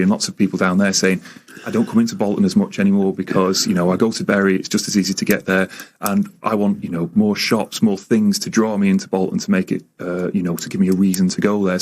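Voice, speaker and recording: A man in his thirties with a Manchester accent talks earnestly and at length as a guest on local radio. His voice sits fairly low, and he often restarts his sentences as he gathers his thoughts in the studio. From England, Greater Manchester. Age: 30s. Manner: earnest, talkative, frequent restarts. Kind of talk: radio interview.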